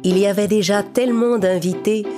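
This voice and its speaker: A woman's voice: medium pitch, steady tone, brisk. Woman narrating a children's tale over music. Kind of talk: scripted children's story narration.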